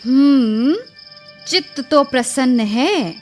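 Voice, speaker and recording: Wronged young village wife, radio play, really high voice, slow indignant complaining. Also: female voice, darkish tone, soft background music. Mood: bitter sarcasm. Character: aggrieved, proud young village wife complaining to her husband. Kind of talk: Hindi radio drama acting.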